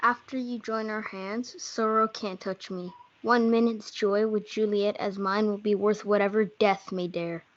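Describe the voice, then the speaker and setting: A woman's voice: mid-range voice, steady tone, unhurried, hardly any pauses, lightly acted. A young woman reading an earnest, lovestruck young man in amateur reader's theatre. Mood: ardent. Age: teenager. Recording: dull lo-fi audio.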